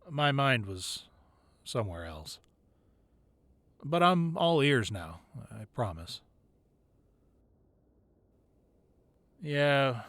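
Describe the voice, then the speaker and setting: A man playing a tough gang leader boyfriend who is teasing and tender in an intimate ASMR roleplay, with playful grumbling and soft, hesitant asides. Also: mid-range voice, no room sound. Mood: distracted, apologetic. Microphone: close microphone, dry.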